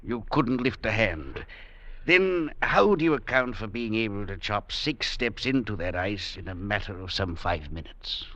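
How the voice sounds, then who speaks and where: An actor playing a thoughtful, probing male doctor in an old-time radio drama, measured and deliberate in delivery. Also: on the low side, animated, fairly bright tone. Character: thoughtful, probing male physician and husband from London. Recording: dull vintage radio recording, faint music.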